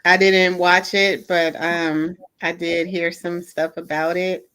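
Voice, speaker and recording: A playful woman chats on a community talk show, teasing her co-hosts and laughing easily. Her voice sits at an ordinary pitch. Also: flat delivery, takes it slowly, a bit bright, clear, resonant tone. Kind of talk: casual chat.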